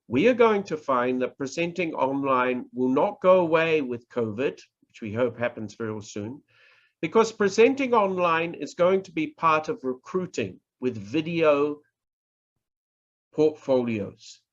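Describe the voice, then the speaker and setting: Middle-aged male lecturer, New Zealand accent. Slow, emphatic delivery with widely swinging pitch, instructional tone, muffled video-call sound. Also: lively intonation. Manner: deliberate, emphatic, with pauses and repetition. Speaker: professor teaching public speaking. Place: indoors, online presentation. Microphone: video call microphone.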